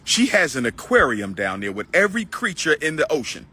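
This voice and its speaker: A man's voice: on the high side, sing-song, fairly quick, pushed and bright, husky. A middle-aged man hosting a livestream, preaching and ranting to viewers he calls brothers and sisters, then chatting with a caller. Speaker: livestream host. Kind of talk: heated livestream rant and caller chat.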